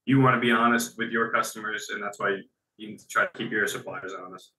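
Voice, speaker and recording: A man's voice: fairly low pitch, steady tone, fast, sharp tone, a little hiss or hum. A man speaking as a business guest in a casual interview, talking conversationally and repeating phrases as he restarts his sentences. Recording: echoey room.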